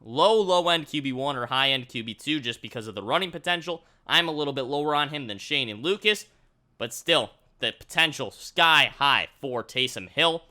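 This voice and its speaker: Sharp, very bright male sports presenter. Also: medium pitch, expressive, moderate pace. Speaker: fantasy football update presenter. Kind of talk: sports update commentary.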